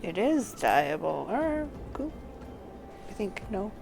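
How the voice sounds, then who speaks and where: Slow-paced woman streaming a game. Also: mid-range voice, very animated, vocal fry, over music. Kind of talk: gameplay walkthrough, reading quest text aloud.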